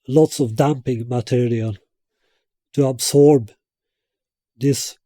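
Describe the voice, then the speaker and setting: A man presenting a technical product explanation as a loudspeaker designer, in a halting, hesitant way. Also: mid-range voice, no echo.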